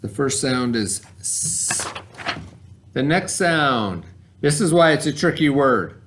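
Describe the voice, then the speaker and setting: A man's voice: medium pitch, animated, slow pace, a bit bright, slight background noise. A man teaching a remote reading lesson to children in an informal, instructional way, carefully sounding out letters and words. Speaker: elementary school teacher.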